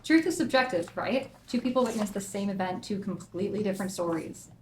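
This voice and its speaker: A woman acts as a provocative cynic who likes to needle. Her dramatic table-read voice is mid-pitched, with room echo and a little noise around it. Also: a little fast, natural voice. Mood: challenging. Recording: echoey room with clear background noise.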